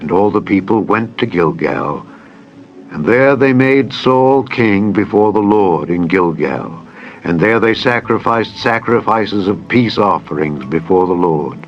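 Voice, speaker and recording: A man's voice: lowish voice, animated, measured pace. A man narrating a dramatized scripture audiobook in a solemn, formal, declamatory way. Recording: dull band-limited audio with music. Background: background music.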